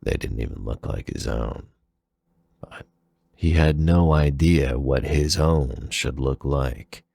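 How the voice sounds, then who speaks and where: A man reading dramatic fan fiction as a scripted narration in a measured, deliberate manner. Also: rumbling low, creaky, darkish tone, slightly rough, no echo, studio quality.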